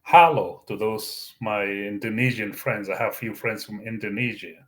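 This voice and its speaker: A man whose first language is Arabic hosts his own channel, giving a Bible teaching talk in a slow, deliberate way with many fillers and restarts. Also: fairly low pitch, low-quality recording. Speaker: YouTube channel host.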